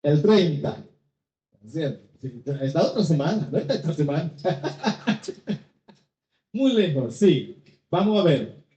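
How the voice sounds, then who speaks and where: Slow, deliberate Haitian man in an echoey hall. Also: on the high side, big pitch swings, dark tone. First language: Haitian Creole. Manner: slow, deliberate, searching for words in a second language. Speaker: singer answering audience questions. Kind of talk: live public Q&A. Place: cultural venue hall with an audience. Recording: echoey, dull hall sound.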